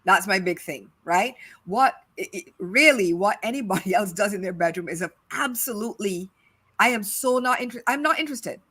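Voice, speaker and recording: A woman's voice: medium pitch, animated, moderate pace, fairly bright tone, slightly rough. A middle-aged woman from Barbados with a Barbadian accent, co-hosting a casual discussion and repeating her points for stress. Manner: emphatic, repeats phrases for stress. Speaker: podcast co-host.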